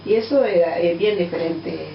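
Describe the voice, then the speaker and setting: A middle-aged woman from Angola with a Portuguese-tinged accent, a guest answering interview questions thoughtfully, with many pauses and fillers. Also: fairly low pitch, gravelly, slight background noise. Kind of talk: radio interview. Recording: distant, roomy, dull lo-fi radio sound.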